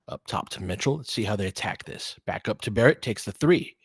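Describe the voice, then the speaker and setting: A man's voice: fairly low pitch, expressive, brisk, slightly rough, no room sound, clean studio sound. A man giving conversational, partisan basketball play-by-play commentary, reacting openly to the action as it unfolds.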